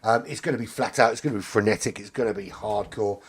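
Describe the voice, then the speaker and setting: A middle-aged British man with a hoarse voice who is losing it, commentating a live sim race in a chatty, rambling way with casual swearing. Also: fairly low pitch, fast, heavy background noise.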